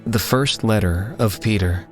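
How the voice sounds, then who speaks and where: Deep, slow male announcer. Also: music in the background. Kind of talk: formal title announcement.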